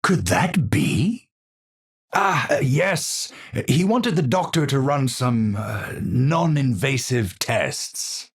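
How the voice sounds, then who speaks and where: An actor playing an eccentric, unstable scientist in a video game, with a Dutch accent, husky voice and theatrical, formal scientific phrasing. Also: male voice, mid-range voice, sing-song, slow, exaggerated voice. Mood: polite, musing. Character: eccentric, unstable male scientist, lofty and absent-minded. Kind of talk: video game character voice lines.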